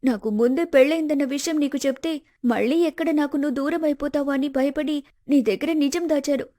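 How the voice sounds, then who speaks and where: A woman performs a scheming young wife in an animated moral story. Her voice is high, and she speaks with melodramatic, pleading false innocence. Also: a little fast, close to an everyday voice. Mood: tearful pleading.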